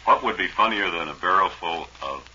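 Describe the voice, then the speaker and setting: Deep guy, deadpan radio sidekick, few words, hard bright tone, muffled old broadcast with hiss. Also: brisk. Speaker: comedy sidekick. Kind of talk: dry off-the-cuff radio banter. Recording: dull, hissy vintage radio broadcast.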